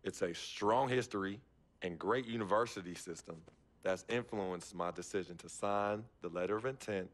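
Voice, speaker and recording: A young actor playing an easygoing high school basketball star who talks in street slang in a sports game cutscene. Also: male voice, moderate pace, natural voice. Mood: speech. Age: young adult.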